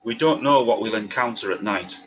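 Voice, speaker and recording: A man at a table read playing a wry, suspicious conspiracy theorist with a dry, deadpan delivery. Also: lowish voice, brisk, close to an everyday voice. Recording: dull, lo-fi, faint background noise.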